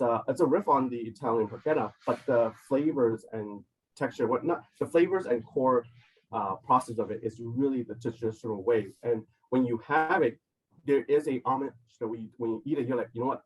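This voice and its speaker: Husky-voiced man, a bit off-mic. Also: medium pitch, recorded from a distance, poor audio. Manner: loose, filler-heavy talk with many "like" and "you know". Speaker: chef and restaurant co-owner. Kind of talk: casual interview answers.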